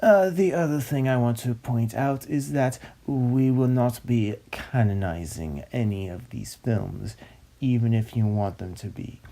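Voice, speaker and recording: A man's voice: mid-range voice, a little slow, dark tone. A man hosting in a droning, mock-pompous way, delivering comic scripted narration. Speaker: host, narrator of a web series.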